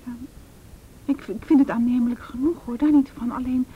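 An actress playing an uneasy, introspective activist in hiding in an intimate radio drama, soft and near whispering, halting as she searches for words, with a Netherlands Dutch accent. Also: steady tone, lo-fi sound, lightly acted. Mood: hesitant. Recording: noisy radio drama recording, low rumble.

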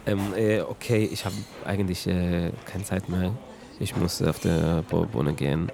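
A man speaking casually and haltingly as a theatre director talking backstage. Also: low-pitched, steady tone. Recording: clear background noise.

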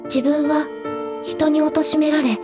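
A woman playing a delicate, sweet and quietly intense teenage girl in an audio drama, speaking softly and with careful phrasing. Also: sits high, flat delivery, takes it slowly, music in the background. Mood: confessional, uneasy. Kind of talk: Japanese audio drama acting.

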